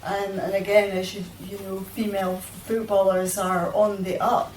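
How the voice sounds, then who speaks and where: A woman speaking on a roundtable panel, telling anecdotes in a lively, enthusiastic way. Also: medium pitch, moderate pace, slight background noise. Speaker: panelist promoting salmon. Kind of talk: roundtable discussion. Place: event room. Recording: distant mic in an echoey room.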